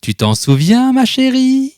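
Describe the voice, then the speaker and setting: A male narrator acts out a kindly old grandfather from a children's storybook. His pitch lifts well above a low speaking voice as he teases and reassures with affection. The sound is close and dry, with music behind. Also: huge pitch swings, a little slow, a little mellow, no room sound, heavily acted. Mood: amused, gentle. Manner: teasing, questioning, affectionate.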